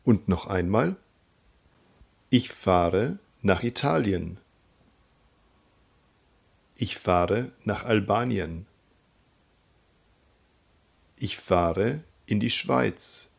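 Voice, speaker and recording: A man teaching a German lesson, calmly naming countries and repeating example sentences for learners to follow. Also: on the low side, very slow, gravelly, pauses often, husky. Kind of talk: slow, clear teaching with drill repetitions.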